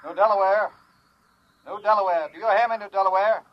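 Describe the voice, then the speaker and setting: An actor playing a cold, commanding colonial inspector speaking over a radio link in an old-time radio drama. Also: male voice, high-pitched, a little fast. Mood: domineering. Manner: stern, clipped, commanding, official. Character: cold, authoritarian male imperial colonial inspector. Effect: radio transmission. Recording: muffled, noisy old radio recording.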